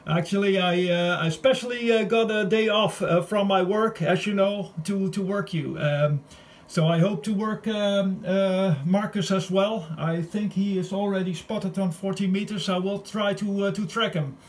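High-pitched man chatting on ham radio, halting. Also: steady tone. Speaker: amateur radio operator. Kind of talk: casual radio contact chat.

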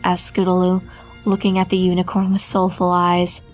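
A woman's voice: lowish voice, monotone, normal speed. A woman reading a scripted fan fiction story as its narrator. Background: background music.

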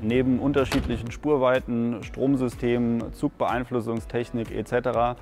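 A man in his thirties speaks for a company in a formal, measured way. His voice sits at a middle pitch. Soft music runs underneath. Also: little pitch movement. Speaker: company spokesperson. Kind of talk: scripted presentation. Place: large indoor atrium.

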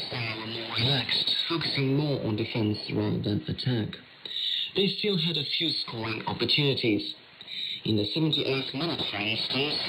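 Noisy shortwave reception, male radio presenter, medium pitch, scripted sports news delivery. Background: radio static and interference.

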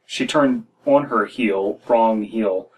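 A man's voice: lowish voice, slow, darkish tone, slightly rough, low-quality recording. An American man in his thirties reading a horror story aloud as a podcast co-host, with wry, critical asides. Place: small room indoors.

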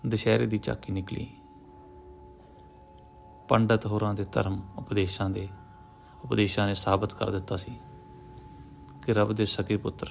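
A man reads a story in a solemn, measured manner. His low voice has a heavy gravelly fry and stays fairly even in pitch. He leaves pauses between short phrases, with music playing behind him. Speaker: audiobook story narrator. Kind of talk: scripted literary story narration. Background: background music.

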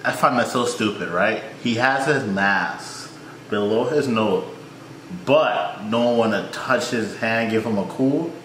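A man's voice: on the low side, unhurried, fairly bright tone. A young man with a Trinidadian accent reacting to a video from a small room, joking and talking back at the screen. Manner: joking, mock-frustrated, talks back at the screen. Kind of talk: casual reaction commentary. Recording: echoey room with faint hiss.

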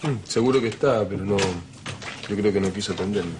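Lowish-voiced young man, played by an actor. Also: normal speed, noisy background, lightly acted. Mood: polite, uneasy. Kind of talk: telenovela acting. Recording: music playing under the dialogue.

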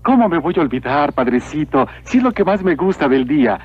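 A man playing a humble, honest young villager in a cartoon, speaking earnestly and politely with gentle deference. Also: fairly high pitch, big pitch swings, a little fast, slightly rough. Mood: earnest, cheerful. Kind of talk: dubbed cartoon acting. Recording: muffled lo-fi old cartoon soundtrack.

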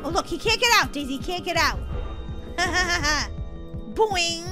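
Female game commentator, extremely high and animated, excitable kid-show role-play style over clear background music. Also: quick pace. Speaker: gaming video host. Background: music behind the voice.

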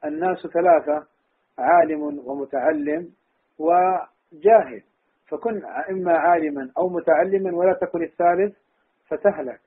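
A man's voice: fairly high pitch, steady tone, racing, breathy edge. A man, an Islamic scholar, giving instructive religious advice off the cuff, over a tinny phone-like connection. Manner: enumerating, instructive. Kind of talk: religious advice, speaking off the cuff in a question and answer session. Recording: tinny, muffled phone-line sound.

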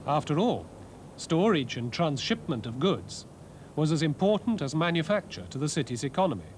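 A man giving scripted, scholarly documentary narration in a measured way, with wry asides. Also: on the high side, very animated, slight background noise. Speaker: presenter, art and architecture lecturer. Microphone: voice-over narration.

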